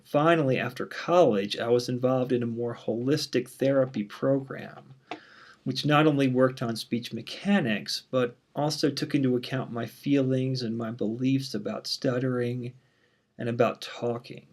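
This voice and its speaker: A man in his thirties with a mild stutter, introducing himself to his online class as the instructor in a relaxed, candid way with frequent "um"s. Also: medium pitch, moderate pace. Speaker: university instructor. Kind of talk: casual course introduction.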